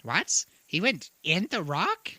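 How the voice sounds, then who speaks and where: A performer voicing an excitable, bumbling halfling who huffs, exclaims and giggles in an audio drama. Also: mid-range voice, slow pace, breathy edge, full character voice. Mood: excited. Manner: exclaiming, huffing, giggling, swooping. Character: excitable, bumbling halfling storyteller companion named Solomon. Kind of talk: audio drama acting, muttering to self.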